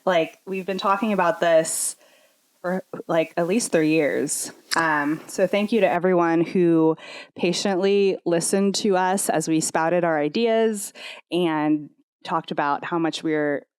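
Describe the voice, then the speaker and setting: A woman's voice: on the low side, unhurried, clean tone, dry recording. Bubbly woman podcast co-host, dry sound. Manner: bubbly, rambling, lots of fillers. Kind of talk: casual chat. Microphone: podcast microphone.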